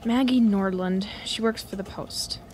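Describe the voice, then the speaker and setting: A woman's voice: medium pitch, measured pace, a little mellow, a little hiss or hum, lightly acted. A woman playing an earnest, inquisitive teenage daughter in a radio drama, insistent and full of questions.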